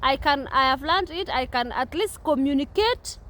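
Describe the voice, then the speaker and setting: Kenyan woman, young, high voice; earnest vlogger, restarts a lot, recorded outside. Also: animated, moderate pace, fairly bright tone. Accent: Kenyan English. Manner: repetitive, earnest, many restarts. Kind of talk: casual talk to camera. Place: outdoors at a playground.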